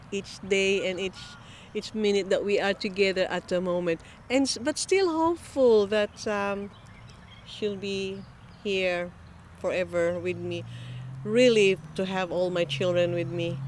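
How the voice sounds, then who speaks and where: A Filipino woman, a mother, speaking earnestly and with pauses in an interview. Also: medium pitch, fairly even intonation, a little hiss or hum. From Philippines. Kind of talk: casual reflective interview.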